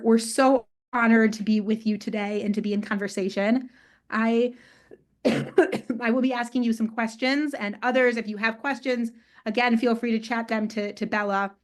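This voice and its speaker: A woman hosting a webinar on a video call, reading a measured, prepared introduction. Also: on the high side. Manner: measured, reads from prepared text. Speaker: webinar host.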